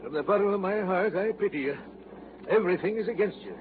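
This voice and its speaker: A man's voice: high voice, quick pace, lightly acted. A man playing a blunt, curt sea captain in a radio drama. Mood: sympathetic. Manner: curt, plain-spoken replies. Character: gruff, blunt middle-aged sea captain. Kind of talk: 1940s radio drama acting. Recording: tinny old radio broadcast, faint hiss.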